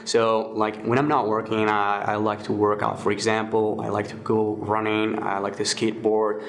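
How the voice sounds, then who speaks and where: Twenties guy from Morocco, low steady voice, relaxed, filler-heavy interview answers. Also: normal speed, creaky. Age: 20s. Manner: relaxed, many fillers and restarts. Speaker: guest, security researcher.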